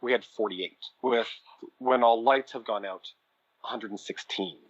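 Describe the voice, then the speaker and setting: A Canadian man chatting as a podcast guest, telling stories in an animated, conversational way and quoting people as he goes. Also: medium pitch, unhurried, dry recording, highs cut off. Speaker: podcast guest, audio drama producer. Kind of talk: conversational interview storytelling.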